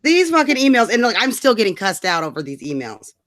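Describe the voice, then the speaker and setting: A woman's voice: mid-range voice, big pitch swings, fast talker, a bit bright. A woman co-host ranting casually about politics, scornful and emphatic, swearing freely. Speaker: talk show co-host.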